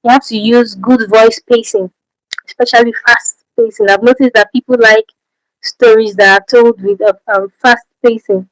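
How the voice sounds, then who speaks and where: A woman's voice: on the high side, steady tone, normal speed, dry recording, poor audio. A Nigerian woman whose first language is Efik, hosting a livestream Q&A and giving advice. She repeats her key points and keeps checking in with rhetorical questions. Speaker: channel host.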